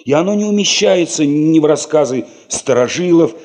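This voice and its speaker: A man narrating a Soviet radio play in a measured, reflective literary style. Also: on the high side, lively intonation, a little mellow.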